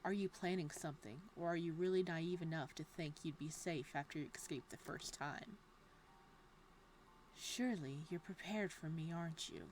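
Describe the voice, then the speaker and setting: A woman reading the lines of an obsessed male killer in a horror visual novel, quiet and taunting. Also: sits low, normal speed, a little hiss or hum, close to an everyday voice.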